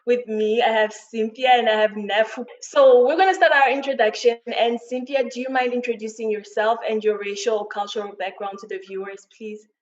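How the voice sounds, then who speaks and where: A woman's voice: fairly high pitch, fairly even intonation, a little fast, few pauses, highs cut off. A woman hosting a panel conversation, friendly and admiring, asking long, rambling questions. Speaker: host.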